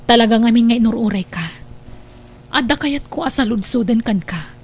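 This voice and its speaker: A woman's voice: a little high, animated, moderate pace, slight background noise. A woman with an Ilocano Filipino accent playing a worried, suspicious wife and mother in an audio drama. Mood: pleading, questioning. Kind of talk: Ilocano radio-style drama acting.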